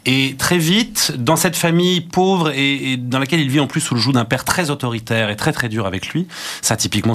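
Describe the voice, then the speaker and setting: A man's voice: mid-range voice, fairly bright tone. Thoughtful man analysing at length. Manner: thoughtful, hesitant with fillers. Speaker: guest critic. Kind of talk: radio interview, analytical commentary.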